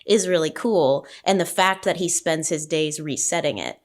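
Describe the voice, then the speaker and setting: A woman's voice: low voice, normal speed. A woman casually analysing a story, thoughtful and explanatory in an informal way. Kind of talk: casual analytical discussion of a script.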